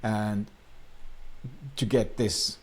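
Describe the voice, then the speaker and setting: A man's voice: fairly low pitch, steady tone, drawn-out words, slightly rough. A man from Finland, a native Finnish speaker, coaching viewers on online marketing in an earnest talking-head explainer with plain, slightly non-native phrasing.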